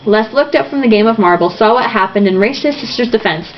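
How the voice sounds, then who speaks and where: A woman's voice: on the high side, lively intonation, quick pace. A woman reading a novel aloud informally, theatrical and irreverent, with asides. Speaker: reader. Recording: dull lo-fi home recording, faint noise.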